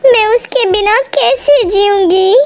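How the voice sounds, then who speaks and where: A woman's voice: very high-pitched, takes it slowly, soft background music, pitched above the performer's own voice, full character voice. A woman voicing a timid, sorrowful young widowed female bird in a cartoon, with a plaintive delivery. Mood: tearful grief.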